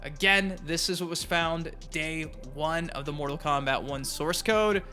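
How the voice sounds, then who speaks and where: Bright-voiced man hosting gaming news. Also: fairly high pitch, a little slow. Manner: chatty, informal, emphatic, repeats words for stress. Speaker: YouTube gaming news host. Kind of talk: casual gaming news commentary. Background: background music.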